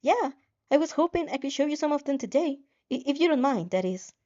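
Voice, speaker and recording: A shy young man played by a woman reader talks politely and hesitantly, eager to please. The delivery is lifted in pitch with a soft, mellow tone, as in an audiobook story. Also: animated, brisk, muffled recording.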